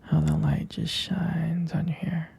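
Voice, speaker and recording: A man playing a tender, adoring boyfriend in an intimate romantic ASMR roleplay, whispering softly, gently and hesitantly. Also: on the high side, monotone, unhurried, exaggerated voice. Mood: tender, sincere. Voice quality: soft, breathy whisper with gravelly creak.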